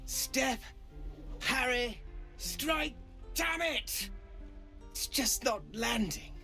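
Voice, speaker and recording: High-pitched, flustered young swordsman. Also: drawn-out words, pushed and bright, full character voice. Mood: frustrated effort. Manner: flustered, eager, hesitant. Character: jittery young male refugee practising swordplay. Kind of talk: video game dialogue acting. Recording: game music behind the voice.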